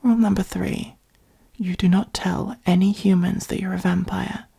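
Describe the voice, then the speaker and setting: A gentle, protective vampire played by a woman speaks in a soft, husky whisper, thick with vocal fry. The tone is mellow and patient, soothing and reassuring in the manner of a comforting ASMR roleplay. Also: medium pitch, fairly even intonation, moderate pace. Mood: patient explaining. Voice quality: breathy, creaky. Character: gentle, protective adult vampire caring for a fledgling.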